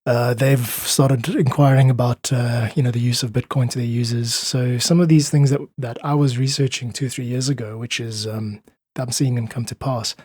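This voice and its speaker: A man with a slightly rough, husky voice at an ordinary male pitch. He explains things articulately and thoughtfully, as a guest in an interview. The sound is dry and close, with little room to it. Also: steady tone, moderate pace, a little mellow, no room sound. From South Africa. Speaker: podcast guest, independent musician.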